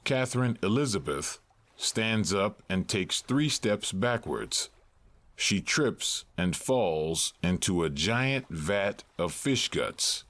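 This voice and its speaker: A man narrating stage directions for a drama in a measured, matter-of-fact way. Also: fairly low pitch, expressive, a bit bright.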